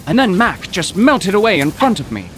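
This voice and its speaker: An actor playing an excitable, wordy poetry professor in a comic mystery audio drama, florid, overwrought and prone to stammering. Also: male voice, high-pitched, moderate pace, slight background noise. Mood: agitated.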